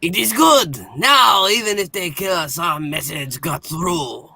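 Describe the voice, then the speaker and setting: A man's voice: high-pitched, lively intonation, a little slow, creaky, bright, forceful tone, husky, no echo, exaggerated voice. A man doing a declaiming wartime resistance hero. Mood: urgent. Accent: put-on French. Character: French resistance leader and tough commando officer.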